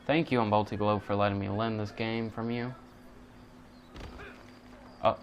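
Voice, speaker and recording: A man's voice: on the low side, fairly even intonation, unhurried, dull audio, a little hiss or hum. A man giving casual, rambling commentary while he plays a video game. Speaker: gamer recording a playthrough.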